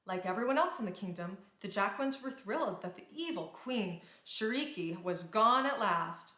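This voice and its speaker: A woman's voice: medium pitch, lively intonation, moderate pace, a bit bright. A woman narrating a children's storybook aloud, reading expressively and carefully. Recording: roomy indoor echo.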